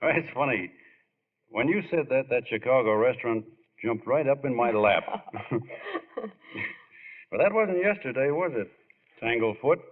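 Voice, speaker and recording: An actor playing a plain-spoken, affectionate ballplayer husband with a halting, understated manner, in 1940s radio style. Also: male voice, medium pitch, expressive, lightly acted. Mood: amused, curious. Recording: muffled old radio recording.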